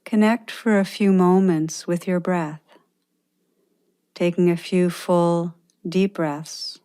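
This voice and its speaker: A woman leads a guided meditation in a very mellow, relaxed voice that sits a little low. She speaks very slowly and gently, leaving pauses between her instructions as a meditation teacher. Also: clean tone.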